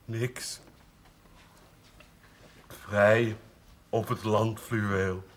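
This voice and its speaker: An actor playing a childlike, lovestruck village simpleton in a television drama, speaking in halting, naive, blunt phrases. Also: male voice, lowish voice, level tone. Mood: naive, eager. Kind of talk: 1970s Dutch television drama acting.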